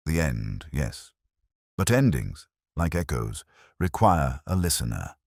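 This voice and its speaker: A man voicing a calm, philosophical gentleman detective in an audiobook, speaking deliberately and with quiet reason. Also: rumbling low, vocal fry, dry recording, studio quality, full character voice. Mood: reflective. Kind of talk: audiobook character dialogue.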